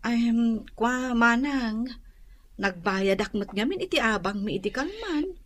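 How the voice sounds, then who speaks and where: A woman's voice: fairly high pitch, lively intonation, unhurried, slight background noise. A young woman playing a friendly, chatty neighbour in a radio drama, with a Filipino accent. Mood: hesitant.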